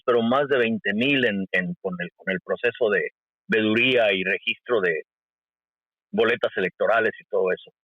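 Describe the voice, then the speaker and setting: A man hosting an interview, asking questions in a halting way with many restarts. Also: mid-range voice, no echo. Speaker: interviewer, host. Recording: dull lo-fi call audio.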